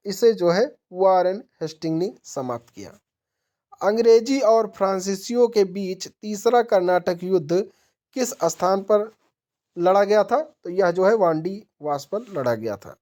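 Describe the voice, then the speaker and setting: A woman's voice: mid-range voice, expressive, measured pace, mellow. A woman narrating a question-and-answer quiz lesson as an exam-prep teacher, methodically posing each question and then answering it.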